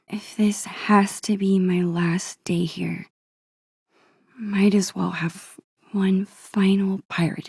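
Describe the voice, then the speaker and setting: Game role: thoughtful American teenage girl. Soft, husky-breathy inner monologue with a creaky edge, musing and shy. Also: fairly low pitch, dry recording, clean studio sound, exaggerated voice. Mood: musing, nostalgic. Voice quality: breathy, hushed. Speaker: video game voice actress.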